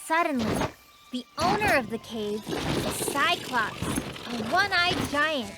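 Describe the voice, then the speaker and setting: A woman voicing a patient, knowing young girl who tells stories in an explanatory way in an animated children's series. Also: sits high, slow pace, poor audio. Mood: storytelling. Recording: music behind the voice.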